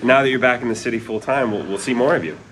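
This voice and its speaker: A man's voice: mid-range voice, fast, fairly bright tone, slight background noise, lightly acted, low-quality recording. An actor playing an earnest, nostalgic architect and father who stammers eagerly at a sitcom table read.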